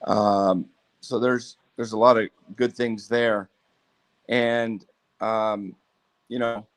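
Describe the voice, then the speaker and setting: Hoarse man, low voice, halting, lots of ums, postgame chat. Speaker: show panelist. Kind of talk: casual sports chat.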